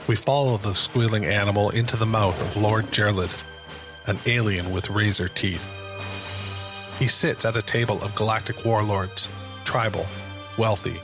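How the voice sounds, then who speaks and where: A man giving scripted dramatic narration as the narrator of an audio drama. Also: fairly low pitch. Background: music.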